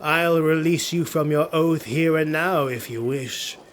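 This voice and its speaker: A man voicing a proud, cruel, sardonic dark elf highborn in a fantasy audiobook, with a slow muttering delivery. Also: slight background noise, natural voice. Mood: musing.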